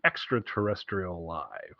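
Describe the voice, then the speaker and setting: Low-voiced man, rambling enthusiastic podcast chat. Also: big pitch swings, a little slow, creaky, dry recording, highs cut off. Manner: enthusiastic, rambling, repeats himself. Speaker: podcast co-host. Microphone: internet call recording.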